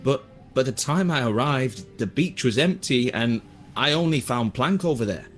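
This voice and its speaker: A man voicing an earnest ship's mate in a video game, with an expressive, slightly formal delivery. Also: medium pitch, moderate pace, faint music behind, lightly acted. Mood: earnest, puzzled. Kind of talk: video game character dialogue.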